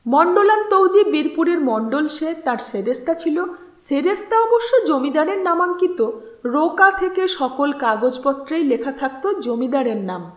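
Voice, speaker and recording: A woman narrating a literary story as an audiobook storyteller, with expressive, measured and dramatic delivery and deliberate phrasing. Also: high voice. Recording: roomy, echoing voice recording.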